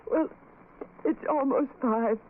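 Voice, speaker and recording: A woman's voice: sits high, takes it slowly, a little hiss or hum. An actress playing an anxious, headstrong young working woman in a radio drama, urgent and snappish. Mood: worried, pleading. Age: young adult. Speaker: actor. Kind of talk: 1940s radio drama acting. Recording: tinny old radio recording.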